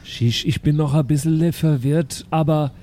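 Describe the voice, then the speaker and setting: A man as a high-voiced, naive chatty boy. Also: fairly even intonation, unhurried, mellow, slight background noise. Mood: confused. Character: naive, chatty, easily confused boy pestering his dad.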